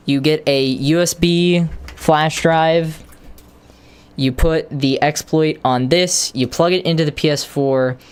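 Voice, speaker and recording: A man's voice: mid-range voice, smooth. A man casually rambling on a live stream, hesitating with lots of ums and restarts while explaining tech steps to viewers.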